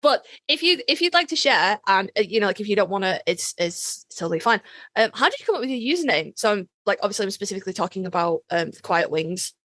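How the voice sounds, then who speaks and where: A woman's voice: mid-range voice, sing-song, quick pace, a bit bright, no echo. Playful woman podcast co-host, dry close sound. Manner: playful, effusive, salty. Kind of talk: casual interview chat.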